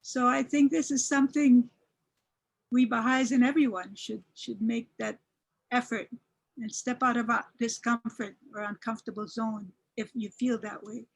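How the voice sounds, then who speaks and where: Panelist: earnest woman, slightly high voice, warm appreciation. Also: steady tone, moderate pace, vocal fry, slightly rough, poor audio. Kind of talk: personal reflection on a panel.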